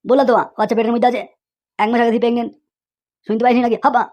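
Casting: performer doing loud, whiny young cartoon husband, impatient, full of exclamations. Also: medium pitch, level tone, very fast, lightly acted. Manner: exclamatory, repeats a catchphrase cry. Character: agitated young husband, loud, whining, impatient village everyman. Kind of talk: comedy cartoon voice acting.

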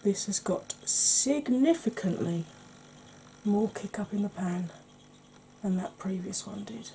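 A middle-aged woman with a Welsh accent giving an ASMR makeup tutorial at home, talking softly and often in a whisper, chatty with a dry sarcastic streak. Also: mid-range voice, animated, takes it slowly, creaky, a little hiss or hum, poor audio. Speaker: beauty YouTuber. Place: indoors at home, face in close-up.

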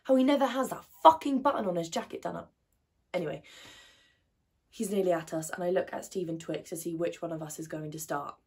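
A woman playing an outspoken, wounded 21-year-old idealist in a colloquial, emotional stage monologue, with a husky voice. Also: fairly low pitch, fairly quick, a little mellow. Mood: wry.

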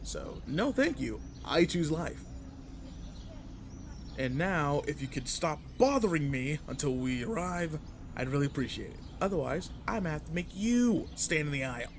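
Teenage male delinquent played by a man for roleplay audio: fairly high-voiced, gruff attitude, casual, needling, easily annoyed. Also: sing-song, moderate pace, a little hiss or hum. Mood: teasing, grudging. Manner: casual, teasing, slangy. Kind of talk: roleplay audio acting addressed to listener.

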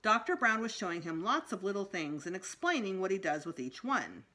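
A woman's voice: mid-range voice, very animated, fairly quick, pushed and bright. A middle-aged woman reading a children's book aloud expressively as a school storytime reader. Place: small room indoors at home.

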